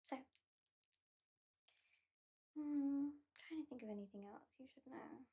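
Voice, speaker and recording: A woman with a British accent playing an aloof, sardonic vampire hypnotist in a soft, whispery, deliberate ASMR roleplay. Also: sits high, breathy edge, highs cut off, exaggerated voice. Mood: dry, matter-of-fact. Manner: slow, deliberate, lightly dismissive.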